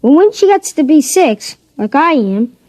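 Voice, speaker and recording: A woman's voice: sits high, big pitch swings, unhurried, a little mellow, no echo. A woman voicing an earnest six-year-old boy who patiently explains things, in a 1950s radio drama.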